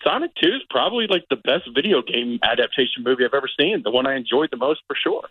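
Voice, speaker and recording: A man's voice: medium pitch, expressive, quick pace, breathy edge, narrow, tinny audio. Middle aged guy doing casual movie reviews on radio, chatty and rambly, no room echo. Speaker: film critic guest on a radio show.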